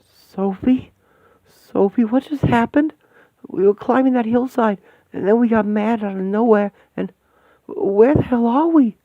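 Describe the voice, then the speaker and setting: Man as an excitable young woman, high and shrill, creaky edge, phone-line sound. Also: measured pace, no room sound, heavily acted. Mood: alarmed. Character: frightened, short-tempered young woman.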